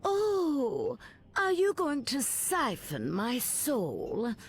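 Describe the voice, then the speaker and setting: A woman's voice: sits high, wildly swooping pitch, a little hiss or hum, full character voice. An actress playing a menacing, seductive ancient villainess who taunts her rivals in a video game. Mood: taunting, menacing. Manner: slow, taunting delivery. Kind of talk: video game character dialogue.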